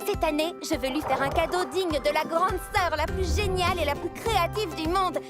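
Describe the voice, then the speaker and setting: A woman voicing a cheerful, caring young sea-kingdom girl in a cartoon dub, expressive and earnest. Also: very high voice, moderate pace. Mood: earnest, worried. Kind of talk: French cartoon dubbing. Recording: music behind the voice.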